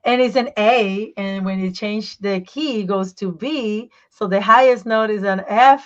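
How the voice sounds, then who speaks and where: Brief: woman voice teacher, animated, searches for English words, mid pitch, muffled line. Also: measured pace. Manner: animated, explains with examples and laughs. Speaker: voice teacher and singer, podcast guest. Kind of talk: casual interview talk.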